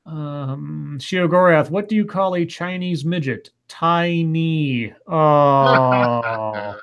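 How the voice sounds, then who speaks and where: A man in his late thirties co-hosting a casual online chat show, reading viewer comments aloud with dry, deadpan reactions. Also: on the high side, drawn-out words. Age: late 30s.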